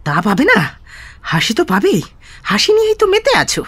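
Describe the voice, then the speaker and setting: A man playing a bitter, diseased husband in an audio drama, accusing and taunting in a strained high voice. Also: wildly swooping pitch, moderate pace, fairly bright tone, husky, heavily acted. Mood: jealous, accusing. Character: bitter, suspicious, diseased husband cursing his wife.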